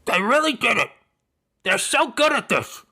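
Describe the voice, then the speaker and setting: A man voicing an excitable, naive young girl creature in an audiobook story, eager and exclamatory. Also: very high-pitched, measured pace, breathy edge, pitched above the performer's own voice, full character voice. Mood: gleeful.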